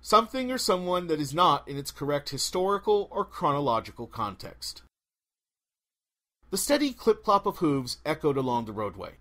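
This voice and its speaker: Guy reading an audiobook, wry and theatrical, voice a bit high and all over the place in pitch. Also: very animated, normal speed, fairly bright tone. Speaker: audiobook narrator. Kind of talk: animated scripted fiction narration.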